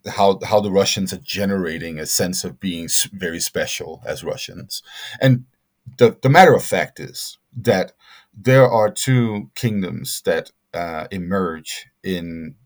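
A middle-aged man answering interview questions as a scholar, speaking haltingly with many fillers and restarts. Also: low-pitched. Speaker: guest scholar.

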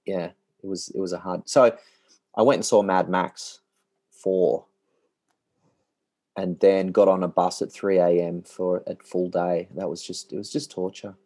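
低く単調に話すオーストラリア訛りの男性. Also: male voice, a little slow, no echo. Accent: Australian. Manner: monotonous, low-key delivery. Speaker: podcast co-host, musician. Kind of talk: casual chat.

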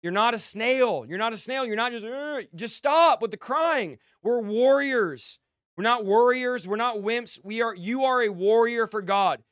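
A male American preacher with a high, clear voice delivers a fiery exhortation. He drives it forward in short, emphatic commands, often repeating phrases for force. The sound is dry, with very little room around the voice. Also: unhurried, a bit bright, no room sound.

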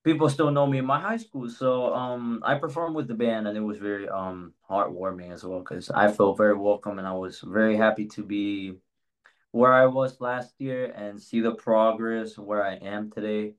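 Young Spanish-born man, low-pitched chat. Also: fairly even intonation. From Spain. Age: young adult. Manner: earnest, reflective, pauses with fillers. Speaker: co-host. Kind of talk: casual chat.

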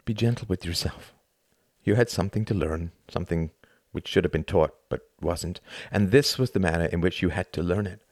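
A man's voice: deep voice, brisk, darkish tone, no room sound, clean studio sound. A man voicing a sardonic, articulate male friend in an audiobook, reasoning and lecturing with emphatic exasperation. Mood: calm, wry.

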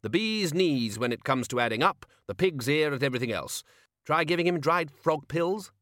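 A man doing a booming, bluff old archchancellor wizard. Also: expressive, normal speed, no echo, clean studio sound. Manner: loud, hearty, blustering.

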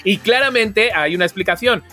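High-voiced Spanish man chatting casually. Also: a little fast, faint music behind. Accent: Spanish from Spain. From Spain. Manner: animated, self-mocking storytelling. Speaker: podcast co-host. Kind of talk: casual comedic chat.

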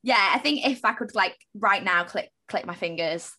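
A woman's voice: medium pitch, normal speed, bright, forceful tone. A young woman from Manchester with a broad Mancunian accent, chatting openly as a podcast guest. Her talk is candid and casual, with an easy laugh and the odd swear word. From Manchester, England. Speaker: actor and theatre director, guest.

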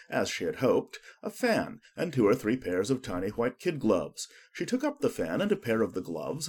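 A man's voice: medium pitch, a little mellow, husky. A man narrating a children's storybook as a scripted audiobook reading.

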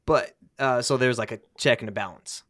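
A man's voice: medium pitch, normal speed, fairly bright tone, no room sound, studio quality. A man hosting a casual podcast, reading passages aloud and then chatting loosely with his friends. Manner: reads quotes then jokes loosely with friends. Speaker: podcast host.

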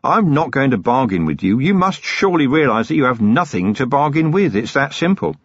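A man voicing a weary, gruff city police commander who talks in a slow, deliberate, menacing way. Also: medium pitch, very animated, no echo, dull audio, voice pushed up. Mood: contemptuous.

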